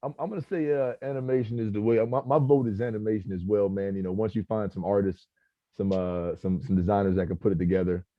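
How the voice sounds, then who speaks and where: A man with a low voice talking fast in casual chat, tripping over his words and tossing in "man" again and again. The sound is dull and lo-fi, with a dry, close feel. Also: steady tone, dry recording. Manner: halting restarts, keeps saying "man". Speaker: cast member of a table read.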